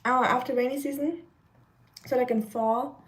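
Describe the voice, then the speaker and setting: Young woman, fairly high voice, slightly nasal, chatty live-stream cooking talk, rambling, lots of fillers. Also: steady tone, normal speed. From Germany. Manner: chatty, rambling, many fillers, reads chat comments aloud. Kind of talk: casual live-stream chat while cooking.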